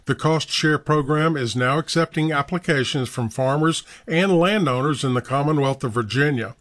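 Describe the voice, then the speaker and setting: A man's voice: fairly high pitch, normal speed, dry recording. A man hosting a farm radio program, reading sponsor messages in a scripted announcing style from a studio. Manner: reads sponsor messages and sayings in an even studio voice. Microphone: studio microphone. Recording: dry studio sound with faint music.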